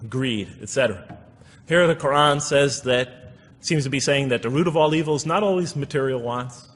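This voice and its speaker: A man's voice: medium pitch, fairly bright tone. A man giving a public religious lecture in an explanatory, reflective manner, reciting verses and commenting on them. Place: lecture hall before an audience. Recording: echoey hall with long reverb.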